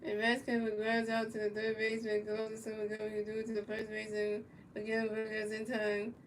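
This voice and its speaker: A woman calls a baseball video game in an extremely flat, unchanging tone, repeating set phrases and restarting lines as she goes. She sits some way from the microphone, and a faint hiss runs underneath. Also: mid-range voice, brisk. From United States. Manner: repetitive, stumbling calls, talks to chat viewers. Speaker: video game play-by-play commentator. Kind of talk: casual play-by-play commentary of a baseball video game. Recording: distant mic with faint background noise.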